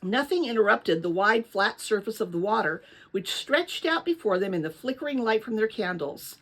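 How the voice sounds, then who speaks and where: A woman reading a children's novel aloud as narrator, with dramatic, expressive storytelling. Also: medium pitch, normal speed.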